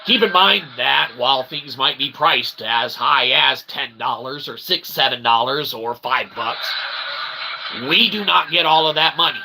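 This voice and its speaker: Creaky-voiced man rambling on a noisy livestream. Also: medium pitch, measured pace. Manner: repetitive, emphatic, with tag questions like "okay?"; wordy, mock-formal phrasing. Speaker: livestream host and self-published author.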